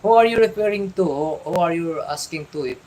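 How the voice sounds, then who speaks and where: A middle-aged man from the Philippines with a Filipino accent, chatting on a livestream and giving fatherly advice in a halting way, repeating and restarting his words. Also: a little high, animated, a little mellow, slight background noise, poor audio. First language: Tagalog. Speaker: vlogger. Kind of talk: casual livestream chat.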